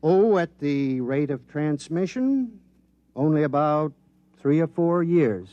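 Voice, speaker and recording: Excitable high-voiced radio-play scientist. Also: male voice, slow pace, lo-fi sound, slight background noise. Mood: pleased, matter-of-fact. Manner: animated, explanatory, eager. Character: excitable, proud government signal scientist, eager and absent-minded. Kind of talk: 1950s radio drama acting.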